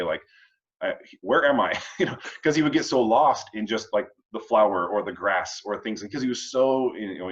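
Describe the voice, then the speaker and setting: A man hosting a casual discussion of readings, reflecting in a halting, rambling way and often checking in with the listener. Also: low voice, dull audio. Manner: halting and rambling, checking in with "you know" and "huh". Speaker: host of a family read-along discussion.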